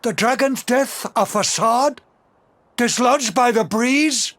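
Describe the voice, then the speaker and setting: Sceptical villager for a game cutscene: high, slow, theatrical and grave. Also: male voice, a bit bright, heavily acted. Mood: astonished. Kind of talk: dramatic video game cutscene acting.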